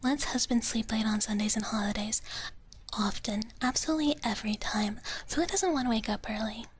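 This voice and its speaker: A woman streamer speaking in a soft, breathy whisper in a playful, casual live ASMR style, close to the microphone. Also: fairly high pitch, a little fast, a little hiss or hum. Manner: playful, speaks of herself in third person. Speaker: streamer performing as a dragon-girl character.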